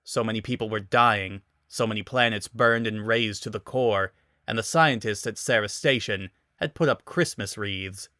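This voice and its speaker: A man's voice: fairly low pitch, normal speed, pushed and bright, no echo, studio quality. A man giving a dramatic scripted narration of a story.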